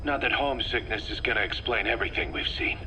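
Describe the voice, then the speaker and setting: Tense, gruff military commander. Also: male voice, mid-range voice, fast talker, pushed and bright, rough recording. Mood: businesslike, tense. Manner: curt, guarded, terse. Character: gruff military commander, defensive, burdened, guarded. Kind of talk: scripted video game dialogue over radio. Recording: radio tape with background noise.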